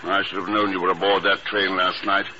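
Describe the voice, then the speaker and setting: Man playing low-voiced, cool secret agent. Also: flat delivery, fairly quick, a crowd in the background, natural voice. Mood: brisk, decisive. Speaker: lead actor in a radio drama. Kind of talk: 1950s radio acting. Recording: muffled, noisy old radio recording.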